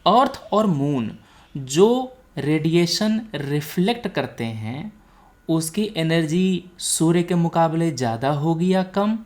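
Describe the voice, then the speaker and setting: A woman's voice: on the low side, a little mellow. A woman with an Indian accent giving an explanatory lecture as a teacher, repeating key points and checking for understanding. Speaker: teacher, exam coaching instructor.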